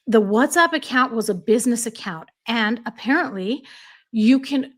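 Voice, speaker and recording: An American woman hosting a casual chat, telling stories quickly and laughing often. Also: fairly high pitch, animated. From United States. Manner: chatty, quick storytelling with frequent laughs. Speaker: host.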